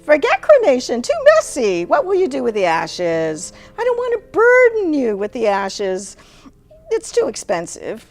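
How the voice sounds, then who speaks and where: A woman's voice: sits high, wildly swooping pitch, measured pace, soft background music, heavily acted. A woman voicing a blunt, restless mother in her seventies in a dramatic story reading, with abrupt, emphatic declarations. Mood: brisk, decided. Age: 70s.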